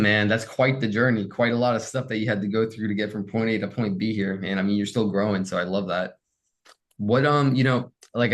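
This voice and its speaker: A man hosting a career podcast, upbeat and energetic, chatting casually with plenty of fillers. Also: on the low side. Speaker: podcast host.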